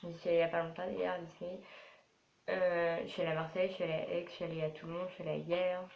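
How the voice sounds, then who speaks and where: A woman's voice: fairly low pitch, level tone, rapid-fire, creaky. A twelve-year-old girl from France chatting casually in a rambling, repetitive way as she answers questions for a vlog. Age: 12 years old. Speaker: young vlogger. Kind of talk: casual FAQ vlog to camera. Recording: roomy echo, dull sound, faint noise.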